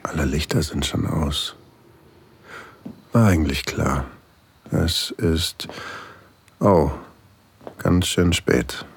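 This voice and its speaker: An actor playing an older single father, tender and longing, in an intimate, softly confiding audio drama narration with a husky, gravelly voice. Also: extremely low pitch, very slow, pauses often, slight background noise, exaggerated voice. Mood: weary, grateful.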